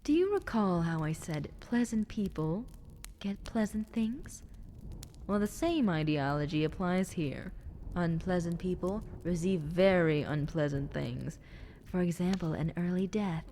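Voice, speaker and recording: A woman voicing a menacing, politely condescending female drider in an ASMR roleplay, speaking in a soft, breathy half-whisper. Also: fairly low pitch, expressive, a little slow, slight background noise. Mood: sinister, amused.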